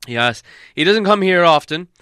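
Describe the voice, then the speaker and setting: A man's voice: sits high, lively intonation, normal speed, pushed and bright, clean tone, dry recording, studio quality, voice pushed up. A man voicing a wise, self-assured old wizard who speaks in a raised, emphatic way and stumbles over some restarts. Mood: earnest.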